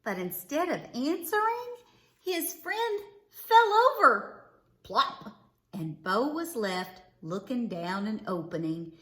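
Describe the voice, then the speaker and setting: Woman, children's read-aloud narration, high lively voice, dramatic emphasis, roomy echo. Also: slow. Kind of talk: expressive storybook narration. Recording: echoey, roomy sound.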